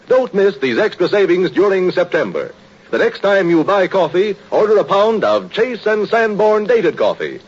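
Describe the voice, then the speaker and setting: A man's voice: high-pitched, big pitch swings, normal speed. A man reading a scripted 1930s radio commercial in an emphatic, declamatory way. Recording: muffled vintage radio recording.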